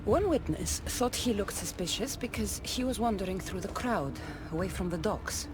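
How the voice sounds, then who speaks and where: A tough female warrior voiced by an actress for a video game, direct and dryly wry. Her delivery swings up and down as she questions and sizes things up, with music playing clearly behind her. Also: mid-range voice, expressive, normal speed, natural voice. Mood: confident, dry. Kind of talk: video game dialogue acting.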